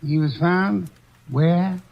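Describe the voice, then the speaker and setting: An actor playing a portly, unflappable older detective who speaks in a measured, pompous way, in 1940s radio acting. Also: male voice, sits high, vocal fry, heavily acted. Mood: smug. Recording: dull old radio broadcast recording.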